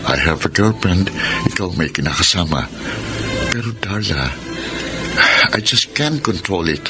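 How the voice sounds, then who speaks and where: Deep-voiced lovestruck young man. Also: animated, unhurried, loud music behind the voice. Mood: pleading, confessing. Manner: mixes English and Tagalog, earnest. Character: lovestruck young man torn between two women. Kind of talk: Tagalog radio drama acting.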